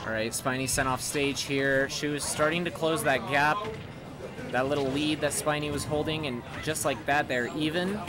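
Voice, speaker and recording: A man doing steady play-by-play commentary on a fighting game tournament match. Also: a little high, moderate pace. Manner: steady play-by-play, dry jokes. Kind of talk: esports commentary. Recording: game music underneath.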